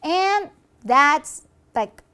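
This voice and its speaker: A woman's voice: sits high, lively intonation, very slow, pushed and bright, full character voice. A woman voicing a cocky, boastful little evil minion in a children's puppet show, with a theatrical, exasperated manner. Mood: scolding.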